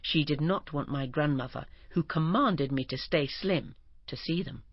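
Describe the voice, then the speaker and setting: A woman narrating a first-person memoir expressively, turning breathy and whispered at moments of feeling. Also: sits low, moderate pace. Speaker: audiobook narrator. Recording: dull, dry recording.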